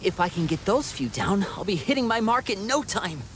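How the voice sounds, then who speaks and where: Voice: mid-range voice, expressive, brisk, slight background noise, lightly acted. An actor playing an earnest young trainee archer in a video game, enthusiastic and studious in manner. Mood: eager, encouraged. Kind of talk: scripted video game character acting.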